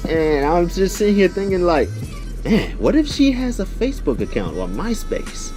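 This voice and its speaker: A man's voice: high-pitched, normal speed, soft background music. A young man chatting casually in a slangy storytime style, often turning to address his listeners directly. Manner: slangy, animated, conversational asides to listeners. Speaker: YouTube storyteller.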